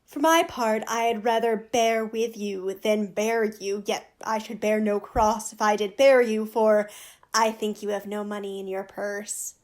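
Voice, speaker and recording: Woman as a wry, grumbling jester, unhurried. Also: mid-range voice, fairly even intonation, darkish tone, close to an everyday voice. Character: wry, grumbling court fool on a journey. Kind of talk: Shakespearean radio play acting.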